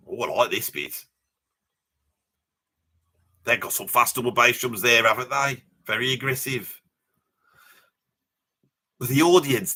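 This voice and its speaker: Brash Birmingham man in his seventies, acted by a man, very bright forceful voice, blunt matey livestream ranter. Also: mid-range voice. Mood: grumbling. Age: 70s. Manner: blunt, matey, ranting, peppered with mild swearing and tag questions. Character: brash, opinionated seventy-year-old Birmingham bloke, self-styled ladies' man. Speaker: livestream host. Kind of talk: casual livestream chat and commentary, answering viewer comments.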